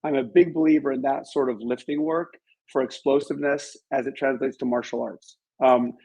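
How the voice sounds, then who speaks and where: A man's voice: medium pitch, fairly even intonation, fairly quick, creaky, dark tone, slightly rough, low-quality recording. A middle-aged man answering as an interview guest, explaining things conversationally and with emphasis. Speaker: interview guest, author and martial arts instructor.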